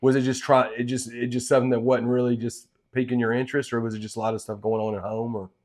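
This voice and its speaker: A man's voice: medium pitch, flat delivery, quick pace, a little mellow. A man hosting his interview show, talking in a chatty, enthusiastic way and tossing in "man" a lot.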